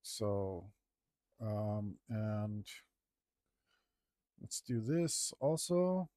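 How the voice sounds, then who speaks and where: A man talking casually to himself as he works on a digital drawing, mumbling in a relaxed way. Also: fairly low pitch, very slow, no echo, studio quality. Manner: mumbling to himself, laid-back. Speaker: digital artist. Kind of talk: casual talk while drawing.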